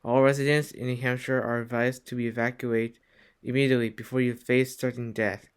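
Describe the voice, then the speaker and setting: A man's voice: medium pitch, level tone, a little fast, clear, resonant tone, natural voice. A man playing an official emergency alert announcer, reading a formal scripted warning. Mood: urgent. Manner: formal, listing.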